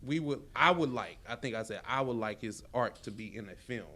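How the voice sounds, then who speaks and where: A man's voice: medium pitch, lively intonation, a little fast, sharp tone. A man co-hosting a casual chat podcast, opinionated and slangy, swearing freely and repeating his points for emphasis. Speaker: podcast co-host. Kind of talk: casual chat about superhero films and shows.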